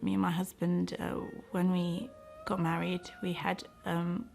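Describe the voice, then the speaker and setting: A woman's voice: on the low side, completely flat delivery, a little slow, creaky, darkish tone, faint music behind. A middle-aged British woman speaking reflectively in an interview, earnest and thoughtful, often hesitating as she finds her words. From United Kingdom. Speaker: interviewee, doctor and community organiser.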